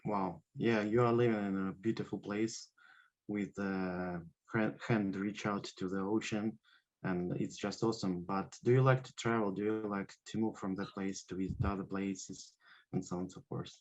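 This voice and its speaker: A man hosting a podcast and asking interview questions, with halting, non-native phrasing. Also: low voice, fairly even intonation. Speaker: podcast host. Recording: dull lo-fi call audio.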